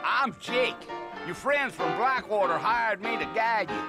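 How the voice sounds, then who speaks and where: A man's voice: high-pitched, lively intonation, a little slow. A man playing a chatty, folksy cowboy guide in a Western video game, with an American Southern drawl and an easygoing, talkative manner. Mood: friendly, eager. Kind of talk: video game character dialogue. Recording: game audio with music behind. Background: music, horses' hooves.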